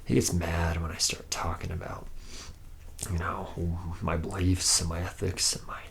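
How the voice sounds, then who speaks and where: A man's voice: low-pitched, level tone, normal speed, vocal fry, fairly bright tone. A man playing a laid-back, boozy womanizing factory worker in an ASMR roleplay, talking in a casual, slangy ramble. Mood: mildly grumbling.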